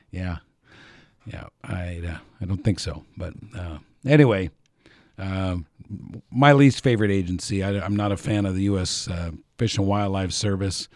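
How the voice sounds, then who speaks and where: A very deep-voiced Alaskan man talking casually on the radio, wandering from thought to thought with lots of uhs and ums. His voice is close and dry, with no room around it. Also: darkish tone, dry recording. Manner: rambling, hesitant, frequent uh and um, chuckling asides. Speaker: radio host.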